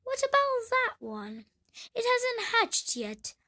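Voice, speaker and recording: A woman's voice: extremely high pitch, wildly swooping pitch, takes it slowly, sharp tone, pitched above the performer's own voice, full character voice. A woman voicing a bossy, crabby old female duck in a children's storybook, grumbling and scolding like a know-it-all. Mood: curious, nosy. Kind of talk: children's storybook read-aloud.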